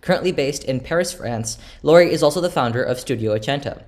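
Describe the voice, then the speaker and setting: A man's voice: mid-range voice, lively intonation, fast talker. A male interviewer politely delivering prepared introductions and questions in a formal manner.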